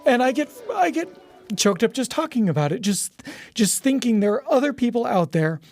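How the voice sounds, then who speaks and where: A man's voice: very high voice, very animated, moderate pace, darkish tone, no echo, clean studio sound, soft background music. A man talking conversationally as a podcast guest, telling things like a story and acting out quotes. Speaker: podcast guest, audio drama producer. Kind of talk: conversational film discussion.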